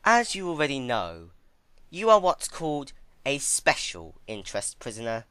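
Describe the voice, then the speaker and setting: A man acts out a young female pony tyrant from a dark fantasy story. The voice is raised well above his own and bright, with lively swoops. It gloats and teases with mock cheer, like a captor toying with a prisoner. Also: medium pitch, normal speed, clean tone, no room sound, pitched above the performer's own voice. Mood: gloating. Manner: teasing, sing-song, mockingly cheerful. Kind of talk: dramatic fan fiction reading.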